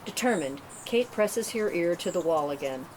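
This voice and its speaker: A woman's voice: lowish voice, lively intonation, moderate pace, darkish tone. A woman reading stage directions as narrator at a script table read, in a matter-of-fact way. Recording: clearly noisy room recording.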